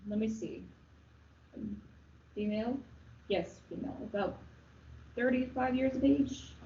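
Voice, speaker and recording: A woman playing an offhand, deadpan old morgue doctor in a comic radio play. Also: medium pitch, natural voice. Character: blasé old morgue doctor, fond of eating at work. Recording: roomy, muffled, noisy recording.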